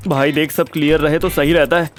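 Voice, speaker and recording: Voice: mid-range voice, steady tone, brisk, soft background music, lightly acted. A performer playing a laid-back, crude young male buddy who grumbles in colloquial slang in an audio drama. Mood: grumbling.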